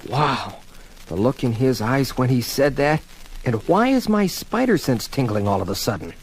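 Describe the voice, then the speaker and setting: An actor playing a cheeky, quippy young student superhero in a seventies audio drama, speaking in a breezy, curious way. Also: male voice, mid-range voice, very animated, moderate pace. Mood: suspicious. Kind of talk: 1970s superhero record audio drama acting. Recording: reverberant with faint background hiss.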